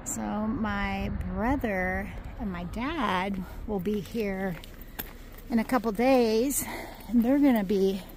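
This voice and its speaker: An older woman vlogging cheerfully on a mountain trail, talking to a phone camera at arm's length and a little out of breath. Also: mid-range voice. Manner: cheerful, chatty, excitable. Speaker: hiking vlogger. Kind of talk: casual vlog narration. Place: outdoors on a dam and mountain trail. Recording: faint outdoor noise.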